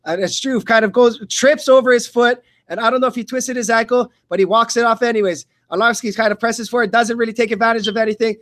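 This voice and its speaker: High-pitched man calling a fight play-by-play. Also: fairly even intonation, fast talker, few pauses, fairly bright tone. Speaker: play-by-play commentator. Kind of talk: live radio fight commentary.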